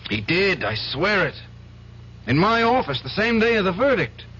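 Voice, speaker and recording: An actor playing a troubled, indignant younger defense lawyer in an old-time radio drama, speaking in a halting, intense, agitated way. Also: male voice, high-pitched, very animated, bright, forceful tone, heavily acted. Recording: dull, faintly noisy old radio recording.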